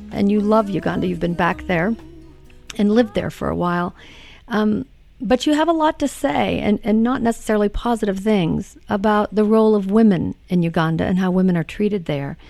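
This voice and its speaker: Female interviewer with a fry-tinged voice, easy conversational tone. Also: mid-range voice, moderate pace, darkish tone, dry recording, faint music behind. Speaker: radio host. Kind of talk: radio interview.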